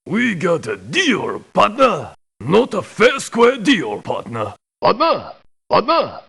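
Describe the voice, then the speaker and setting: An actor playing a folksy, cocky cowboy mercenary in a video game, with a husky voice and broken English full of western slang. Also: male voice, high voice, wildly swooping pitch, slow, pushed and bright, full character voice. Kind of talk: video game character voice lines.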